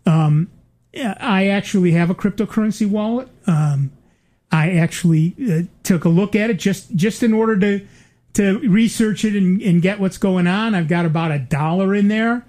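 A man's voice: sits high, normal speed, a little mellow. An older man hosting a casual chat, rambling with many fillers and restarts and dry asides.

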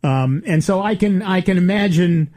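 A man's voice: high voice, moderate pace, darkish tone. A man in his sixties hosting a call-in radio show, chatty and laughing easily. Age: 60s. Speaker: radio host. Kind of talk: casual call-in radio talk.

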